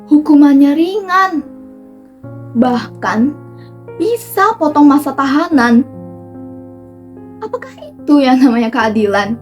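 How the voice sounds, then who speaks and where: A woman delivers a dramatic theatrical monologue in an impassioned, rhetorical manner with emphatic pauses. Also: high-pitched, lively intonation, darkish tone. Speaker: monologue performer. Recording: distant microphone with music underneath. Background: background music.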